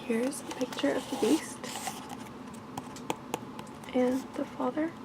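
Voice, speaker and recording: A woman's voice: sits high, steady tone, slow pace. A woman reading a bedtime story aloud in ASMR style as a storyteller. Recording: clearly noisy background ambience.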